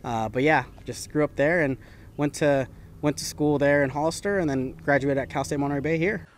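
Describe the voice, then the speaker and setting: A young adult man born in the Philippines, a professional golf caddie answering interview questions in a relaxed, rambling way. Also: a little high, fairly quick, slight background noise. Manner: relaxed, talks in loose run-on phrases with fillers. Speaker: PGA Tour caddie, guest.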